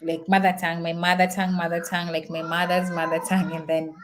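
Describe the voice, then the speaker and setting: A woman's voice: lowish voice, little pitch movement, quick pace. A young woman whose first language is Swahili, talking in a casual, reflective vlog, chatty and full of fillers and repeats. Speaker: vlogger.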